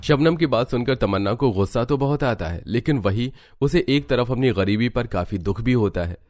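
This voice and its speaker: A man's voice: medium pitch, expressive, moderate pace, a little mellow, no echo, clean studio sound. A man narrating a scripted children's cartoon story.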